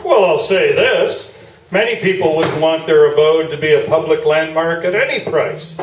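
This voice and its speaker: Man acting a pompous high-voiced sports columnist. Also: moderate pace, lightly acted. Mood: smug, defensive. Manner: grandiloquent, self-satisfied. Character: pompous, boosterish male newspaper sports columnist, staunchly loyal. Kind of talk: live staged radio play dialogue. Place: small theatre stage, indoors. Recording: roomy, distant live theatre video, noisy.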